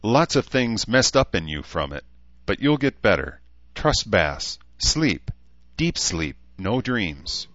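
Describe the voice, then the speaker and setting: A man's voice: fairly low pitch, very animated, slow pace, a bit bright, dry recording, highs cut off, voice pushed up, heavily acted. A man voicing a blunt, wise but caring elf woman who talks in clipped, broken phrases, in an audiobook story. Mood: soothing.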